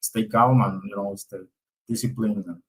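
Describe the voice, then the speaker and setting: Husky low-voiced man, speaking haltingly. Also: steady tone, poor audio. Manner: hesitant, halting, searching for words. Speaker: member of a job-search coaching group. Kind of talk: casual, reflective personal sharing.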